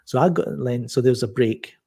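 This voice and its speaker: Guest: soft-spoken man, mellow husky tone, halting casual storytelling. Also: medium pitch. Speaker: podcast guest. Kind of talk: casual chat.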